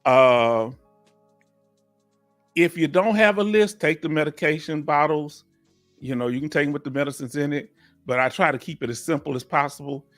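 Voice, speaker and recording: Fifties guy hosting a live q&a, kinda high voice, chatty and repetitive, faint music behind. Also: normal speed, a bit bright. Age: 50s. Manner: folksy, repeats himself, coaxes the audience to join. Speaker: livestream host and veteran claims advisor. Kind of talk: casual live Q&A talk.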